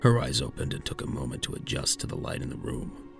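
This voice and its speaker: A man narrating a scripted science fiction audio drama in a measured storytelling manner. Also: rumbling low, big pitch swings, music in the background.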